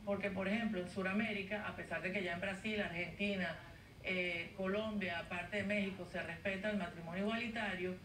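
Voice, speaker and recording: A woman guest on a TV news interview, arguing her case in an earnest, measured way over a video call. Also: medium pitch, fairly even intonation, fairly bright tone. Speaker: guest advocate. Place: home studio via video link. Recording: roomy, distant, faint noise, played off a TV.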